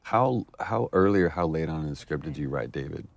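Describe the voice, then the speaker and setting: A man's voice: extremely low pitch, lively intonation, a little fast, gravelly. A man commentator chatting casually and thoughtfully, offering appreciative remarks and questions. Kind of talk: casual discussion.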